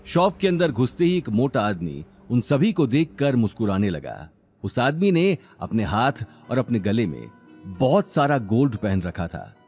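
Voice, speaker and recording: A man's voice: mid-range voice, darkish tone, slightly rough. A man narrating a scripted story in a dramatic, suspenseful storytelling style.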